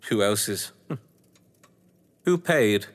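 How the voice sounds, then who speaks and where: A man's voice: on the low side, expressive, drawn-out words, big-room sound. A man playing a suave, scheming, condescending English crime novelist in a theatrical audio drama, with wry, florid delivery. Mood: sardonic. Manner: pompous, wry, florid phrasing.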